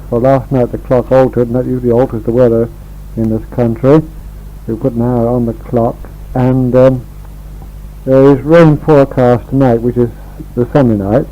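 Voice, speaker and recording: A man's voice: medium pitch, mellow. An English man in his sixties rambling in a homely way as he records a casual spoken letter to family on an old home tape. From England. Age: 60s. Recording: dull 1960s home reel-to-reel tape.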